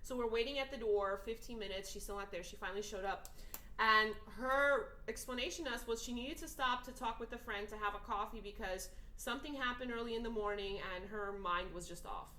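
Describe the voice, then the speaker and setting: A woman's voice: on the high side, brisk, pushed and bright. A woman from Portugal talking earnestly in a casual conversation, building long emphatic arguments. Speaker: podcast host. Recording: echoey room with faint noise.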